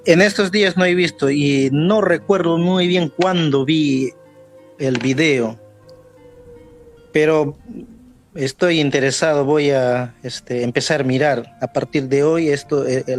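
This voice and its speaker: A man's voice: a little high, fairly even intonation, unhurried, faint music behind. A man from Amazonas in Peru whose first language is Wambisa, carefully telling a personal story and searching for his words. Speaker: guest. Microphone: video call.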